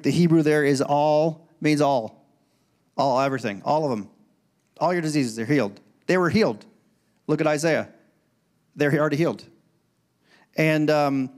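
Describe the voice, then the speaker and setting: A man in his forties preaching a sermon on a church stage into a handheld microphone, swinging between loud emphatic lines and quiet conversational asides. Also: fairly high pitch. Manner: preaching with rising and falling intensity, conversational asides. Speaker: pastor.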